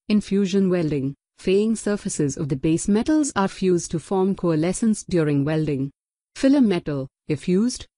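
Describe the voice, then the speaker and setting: Dry, dark-toned woman narrating a tutorial. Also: on the low side, dry recording. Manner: even, measured reading of a list. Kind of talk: scripted narration over slides.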